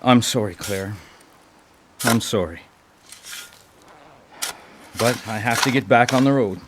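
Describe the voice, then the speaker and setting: Radio drama: male actor for earnest, haunted middle-aged driver, halting and defensive, medium pitch, rumbly noisy live sound. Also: close to an everyday voice. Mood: regretful. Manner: hesitant, stammering, defensive when pressed.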